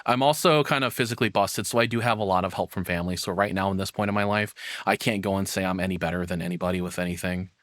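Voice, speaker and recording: A man's voice: low-pitched, fast, few pauses, fairly bright tone, no room sound, clean studio sound. A man giving unscripted reaction commentary as a streamer, rambling and questioning himself in casual language.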